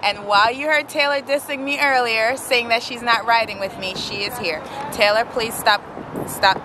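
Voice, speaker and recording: Woman vlogger, slightly high, chatty and joking, outdoors on the water, heavy outdoor noise. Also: steady tone, normal speed. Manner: chatty, laughing, teasing family. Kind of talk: casual vlog chat. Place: outdoors on a creek in a kayak.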